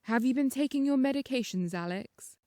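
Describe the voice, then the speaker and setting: Woman reading as gentle, calming hospital doctor. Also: high-pitched, no echo, studio quality, pitched above the performer's own voice. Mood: calm, reassuring. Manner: soothing, measured, reassuring.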